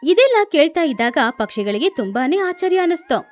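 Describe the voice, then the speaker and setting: A woman's voice: high-pitched, sing-song, a little fast, faint music behind. A woman narrating a scripted children's story as a storyteller.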